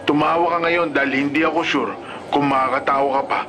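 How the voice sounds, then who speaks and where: A man's voice: a little high, steady tone, brisk, close to an everyday voice. A man acting in a radio drama as a skeptical young friend, teasing and exasperated. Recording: clear background noise and ambience.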